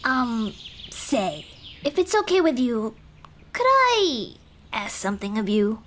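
A timid little goblin girl, played by a woman, speaks in a highish voice that swings expressively up and down. She is kind-hearted and halting, offering gentle comfort in an intimate ASMR roleplay, with birdsong and faint outdoor ambience behind her. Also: big pitch swings, a little hiss or hum, heavily acted. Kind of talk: ASMR roleplay, intimate one-sided dialogue. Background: birdsong, nature ambience.

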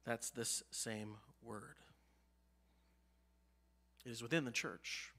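A man in his thirties with an American accent, preaching a sermon from a church pulpit. He lifts his voice on key phrases and lets line endings fall low and soft. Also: slow pace. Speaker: pastor. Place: church sanctuary, behind a pulpit. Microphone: pulpit mic, medium-wide camera.

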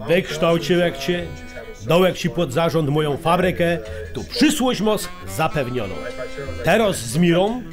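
Radio drama casting: older highlander patriarch, blunt and folksy, animated delivery, music underneath. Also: male voice, unhurried. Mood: solemn, generous. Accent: Polish highlander. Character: bossy, generous older highlander uncle and family patriarch.

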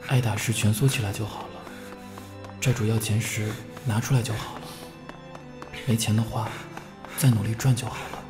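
A man's voice: lowish voice, steady tone, normal speed, pauses often, lightly acted. A young man acting as a weary, guarded male student in a Chinese audio drama. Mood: melancholy. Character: weary, guarded male student narrating his hard youth. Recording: roomy sound under loud music. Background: music.

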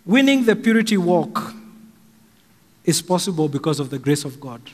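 A middle-aged Kenyan man preaching a slow, emphatic sermon from a pulpit stand mic in a large church hall. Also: fairly high pitch, very animated. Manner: slow and emphatic, raising his voice for stress. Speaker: pastor. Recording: long hall reverb, faint music.

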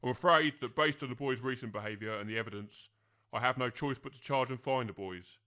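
A man's voice: mid-range voice, pushed and bright, lightly acted. A man playing a polite but unyielding village constable in an audio comedy drama, official and courteous. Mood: firm, official. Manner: measured wording, official, courteous.

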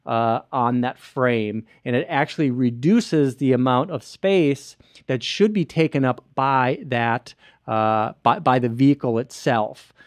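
A man in his thirties hosts an explanatory web show, his voice sitting at an ordinary middle pitch. He talks loosely, with frequent fillers and restarts. The sound is dry and close, picked up by a podcast mic on a boom arm. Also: measured pace, no room sound. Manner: gestures a lot, many fillers and restarts. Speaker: host. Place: small studio with screen behind. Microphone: boom-arm podcast mic close to mouth.

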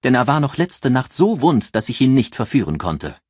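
Audiobook role: male reader as self-assured businesswoman, taunting drawl, raised pitch, animated intonation. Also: moderate pace, no echo, studio quality. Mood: taunting, frank. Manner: drawling, ironic, frank and taunting. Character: cool, ironic, self-assured career woman.

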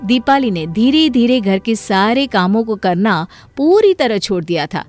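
A woman's voice: fairly high pitch, big pitch swings, a little slow, darkish tone, soft background music. A woman giving scripted narration for a story.

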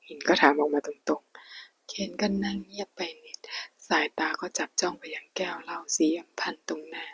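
A woman narrating a novel in a soft, whispering delivery. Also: fairly low pitch, normal speed, creaky, rough recording. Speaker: storyteller.

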